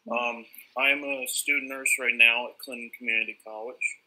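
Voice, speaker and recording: A man's voice: mid-range voice, fairly even intonation, moderate pace, sharp tone, rough recording. A young man from northern New York, a nursing student, candidly telling his own story over a laptop mic on a video call. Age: young adult. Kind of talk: casual video call talk. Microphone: laptop or webcam mic on video call.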